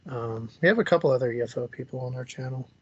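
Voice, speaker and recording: Husky man hosting a casual chat. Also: mid-range voice, fast talker, lo-fi sound. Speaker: interview show host.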